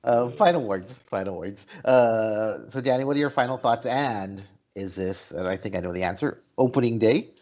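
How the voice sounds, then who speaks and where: A man's voice: on the low side, normal speed, few pauses, darkish tone, lo-fi sound. A man hosting a movie podcast, talking informally in a casual panel discussion. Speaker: host of a movie review podcast.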